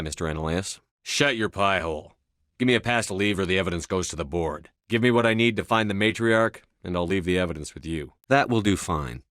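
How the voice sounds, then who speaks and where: A man's voice: sits low, fairly bright tone, dry recording, studio quality. A man playing a stern, commanding space soldier hero in a video game, speaking in a terse, controlled, authoritative way. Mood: firm, hard-nosed. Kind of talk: video game dialogue acting.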